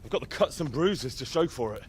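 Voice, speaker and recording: An actor playing a young, respectful squire in a medieval video game. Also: male voice, on the high side, lively intonation, fairly quick, a little hiss or hum, natural voice. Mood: earnest. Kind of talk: video game cutscene acting.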